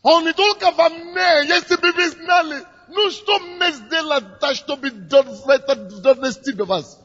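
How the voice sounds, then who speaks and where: A man's voice: extremely high pitch, lively intonation, a little slow, fairly bright tone. A man preaching. He grows fervent, repeats his phrases and leads the people in prayer. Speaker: pastor. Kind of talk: sermon leading prayer. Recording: dull lo-fi recording in a reverberant hall with music.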